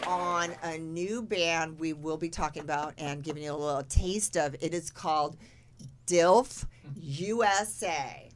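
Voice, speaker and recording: A woman's voice: sits low, a little slow, a little hiss or hum. A woman hosting a live podcast, introducing guests with bubbly enthusiasm in casual chat. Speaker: podcast host.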